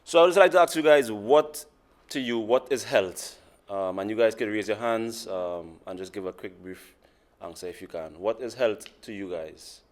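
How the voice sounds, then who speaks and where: A man's voice: on the low side, moderate pace. A man from Trinidad and Tobago, a PhD student who cheerfully asks the audience questions. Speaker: PhD student and lab assistant director. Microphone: video call.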